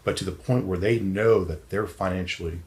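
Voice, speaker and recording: A man's voice: low voice, steady tone, brisk, darkish tone. A man speaks as a guest financial advisor. He talks conversationally in plain words, putting firm emphasis on his points. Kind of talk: conversational podcast interview.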